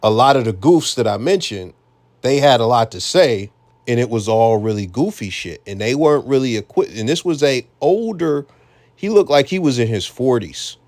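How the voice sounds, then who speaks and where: A man's voice: mid-range voice, lively intonation, normal speed, darkish tone. An American man hosting a reaction commentary, talking bluntly and casually with frequent profanity. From United States. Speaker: commentary channel host.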